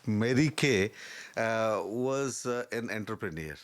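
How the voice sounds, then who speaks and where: An older man, a guest telling stories in a halting, earnest way, often pausing to search for words. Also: lowish voice. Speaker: interview guest. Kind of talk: reflective storytelling in conversation.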